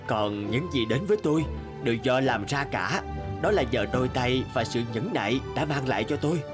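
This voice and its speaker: An actor voices a self-assured man in a cartoon folk tale. His voice sits at a middle pitch, with music clearly playing underneath. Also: expressive, moderate pace, natural voice. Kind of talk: animated folk-tale dialogue. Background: background music.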